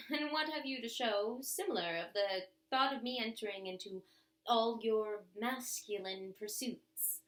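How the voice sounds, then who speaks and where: In a roomy, echoing space, a woman reads old love letters aloud as a bedtime story. Her voice is fairly high, and her delivery is theatrical and expressive, with deliberate pauses. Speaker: reader of bedtime stories.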